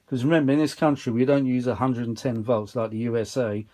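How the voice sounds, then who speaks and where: A man's voice: mid-range voice, steady tone, fast, dark tone. Man chatting enthusiastically at his workbench. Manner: enthusiastic, rambling, informal. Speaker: vintage audio hobbyist presenter. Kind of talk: casual unscripted chat while demonstrating a machine. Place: indoors at a cluttered workbench.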